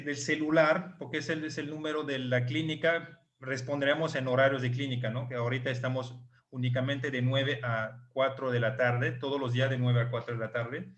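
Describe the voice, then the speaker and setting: A male clinic doctor, not a native Spanish speaker, patiently explaining details and slowly repeating numbers on an online group call. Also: medium pitch, steady tone, fairly bright tone.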